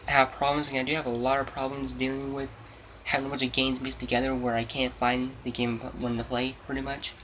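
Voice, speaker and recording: Bright-voiced rambling teen guy. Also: medium pitch, monotone, fast talker, a little hiss or hum, low-quality recording. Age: 17, about to turn 18. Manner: rambling, repeats himself, many restarts. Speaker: gaming channel host. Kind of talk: casual unscripted monologue.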